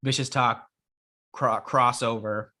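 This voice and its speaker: Raspy-voiced man hosting a sports chat. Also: medium pitch, takes it slowly. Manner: relaxed, rambling, filler-heavy. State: raspy after illness. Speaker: podcast co-host.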